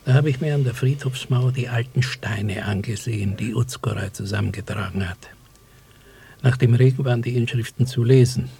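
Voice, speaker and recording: An actor playing a mild, reflective widowed village man in a radio play, with a measured, hesitant delivery. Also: medium pitch, mellow. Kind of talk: German radio play acting. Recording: clearly hissy cassette recording.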